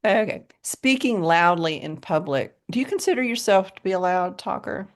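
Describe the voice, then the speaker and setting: An American woman with a low voice co-hosting a podcast, chatting casually and often laughing. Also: lively intonation, normal speed. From United States. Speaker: podcast co-host. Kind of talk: casual chat.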